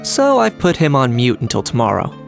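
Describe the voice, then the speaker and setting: A composed, decisive man with dry wit in an anime game. His pitch sits in the middle, and faint music plays behind him. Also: wildly swooping pitch, brisk, creaky.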